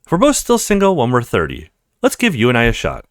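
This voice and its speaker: A petite young woman from a visual novel, acted by a man, insecure yet teasing and affectionate. The pitch swoops around freely with a plaintive, playful edge, in a dry close recording. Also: wildly swooping pitch, fast, no echo. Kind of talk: visual novel character read-aloud.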